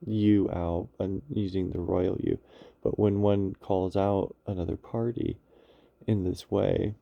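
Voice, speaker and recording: A man giving an unscripted, reflective monologue on inner life, halting and searching for his words as he goes. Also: deep voice, gravelly, dry recording, lo-fi sound. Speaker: speaker on spirituality and psychology.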